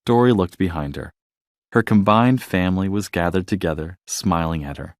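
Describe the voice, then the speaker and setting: A man reading a scripted children's story as narrator, in an expressive, dramatic storytelling manner. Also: sits low, normal speed, no echo, clean studio sound.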